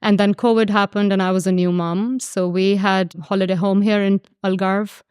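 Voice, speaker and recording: A woman's voice: medium pitch, level tone, normal speed, darkish tone, clear, resonant tone, no echo, clean studio sound. A woman in her thirties from Pakistan, chatting easily as a guest designer, with a ready laugh. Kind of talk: casual interview chat.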